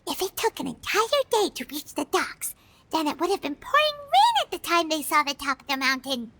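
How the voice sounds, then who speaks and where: A woman voicing a tiny, childlike fairy companion in a video game, squeaky and earnest. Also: wildly swooping pitch, fairly quick, a bit bright, slight background noise, heavily acted. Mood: pondering. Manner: refers to self by name. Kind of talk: animated video game voice acting.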